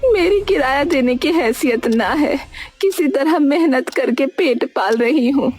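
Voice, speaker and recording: Woman as meek, high-voiced old village widow. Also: lively intonation, a little slow, a little mellow. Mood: distressed, pleading. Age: older. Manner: hesitant, pleading, stammers when upset. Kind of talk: Hindi cartoon story acting.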